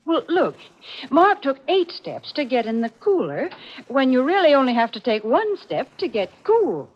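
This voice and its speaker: A woman's voice: high-pitched, big pitch swings, moderate pace. A woman playing a cheerful American sponsor's spokeswoman, selling in chipper 1940s radio advertising style. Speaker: commercial spokeswoman. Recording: muffled vintage radio recording.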